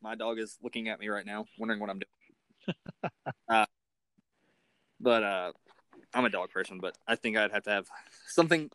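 A man's voice: lowish voice, normal speed, long pauses, fairly bright tone, clear, resonant tone, no room sound, low-quality recording. A man hosting a casual interview, informal and a little self-deprecating in the way he talks. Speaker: interview host.

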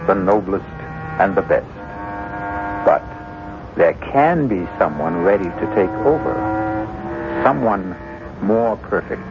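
A man hosting and narrating a radio drama in a grave, measured, scripted manner. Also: deep voice, lively intonation, background noise, full character voice. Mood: solemn musing. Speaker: host and narrator. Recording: muffled old radio broadcast.

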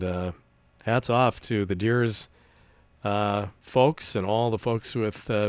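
A middle-aged man hosting a local radio call-in show in a studio, hoarse and raspy from congested sinuses, talking in an easygoing, chatty way. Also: lowish voice, no room sound. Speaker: radio host. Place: small-town radio studio. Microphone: studio microphone.

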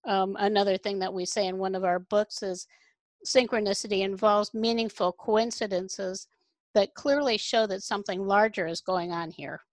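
A woman's voice: medium pitch, fairly even intonation, normal speed, no room sound. Reflective woman host, dry sound. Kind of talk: interview hosting.

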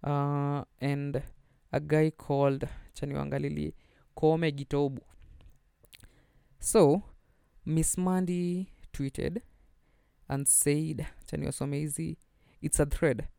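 A man's voice: a little high, flat delivery, slow, gravelly, long pauses, warm tone, smooth, no room sound, studio quality. A young Kenyan man hosting a podcast, chatting casually and switching between English and Swahili slang. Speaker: podcast host. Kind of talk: casual solo podcast chat.

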